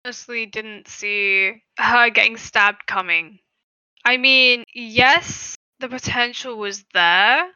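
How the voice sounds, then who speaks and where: A woman's voice: fairly high pitch, slow, sharp tone, clear, resonant tone, no room sound. A woman co-hosting a podcast, chatting casually about a TV series and stammering through her thoughts. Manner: stammering, hesitant, many fillers. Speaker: podcast co-host. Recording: dull lo-fi dry recording.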